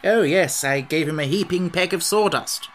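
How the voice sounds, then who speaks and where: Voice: mid-range voice, normal speed, fairly bright tone, slight background noise, voice pushed up, lightly acted. A reader as a timid, honest soldier. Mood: deferential. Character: timid, honest male soldier, deferential to his king.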